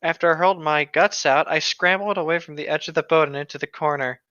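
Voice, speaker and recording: A man's voice: fairly high pitch, fast talker, bright, forceful tone, no room sound. A man doing a casual comic read-aloud with irreverent joking asides, on a slightly dull close recording. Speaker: fan fiction podcast reader.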